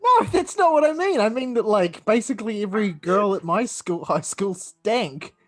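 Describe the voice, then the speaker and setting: Higher-voiced New man reading a game. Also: moderate pace, soft background music. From New Zealand. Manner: relaxed, joking, laughs between lines. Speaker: let's play co-host reading the game text. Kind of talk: casual podcast banter while reading aloud.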